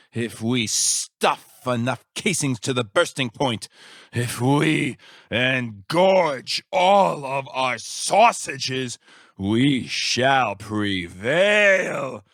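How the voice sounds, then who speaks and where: Pompous villainous mad scientist for a video game, bright highish voice, swoops all over the place. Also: male voice, animated, very slow, no echo, clean studio sound, exaggerated voice. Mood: gloating, manic. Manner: theatrical, pompous, verbose. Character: eccentric, grandiose mad scientist man, secretly villainous. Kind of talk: video game character voice acting.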